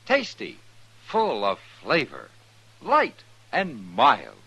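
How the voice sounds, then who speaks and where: A man announcing a 1950s radio commercial in an emphatic, deliberate salesman's way. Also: medium pitch, wildly swooping pitch, sharp tone, breathy edge, dry recording, low-quality recording. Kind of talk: 1950s radio advertising read.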